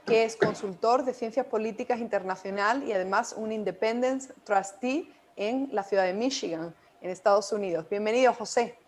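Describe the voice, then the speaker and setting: A woman's voice: medium pitch, normal speed, few pauses, darkish tone, slight background noise. Woman hosting a political interview, mid-range voice. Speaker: interview host. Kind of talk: interviewing a guest.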